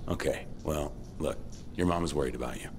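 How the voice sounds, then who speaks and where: A man's voice: a bass voice, a little fast, a little mellow, a little hiss or hum. An actor playing a laid-back, wry male survivor in a video game, speaking with some hesitation.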